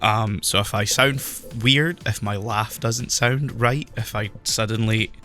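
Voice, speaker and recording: A man with a lowish voice, still recovering after losing it, talks in a self-conscious, laughing way on a new microphone. His tone is bright and forceful, with faint music behind. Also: fairly even intonation, measured pace. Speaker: podcast co-host.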